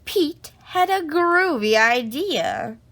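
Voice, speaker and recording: Lady reading a kids bedtime book, really slow, swooping lively pitch, playing to little ones. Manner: animated, drawn-out delivery for young children. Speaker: storyteller reading a children's book aloud. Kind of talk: expressive read-aloud of a children's bedtime story.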